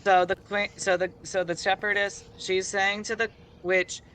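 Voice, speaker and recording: A man's voice: high-pitched, level tone, a little slow, bright, forceful tone, a little hiss or hum. A man telling a story casually on a live stream, rambling with conversational asides.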